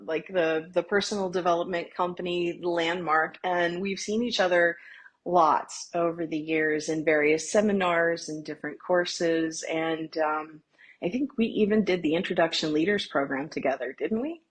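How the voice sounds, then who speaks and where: A woman with a low, husky voice hosts a casual podcast interview. She is chatty and encouraging and keeps her guest talking. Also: moderate pace. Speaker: podcast host.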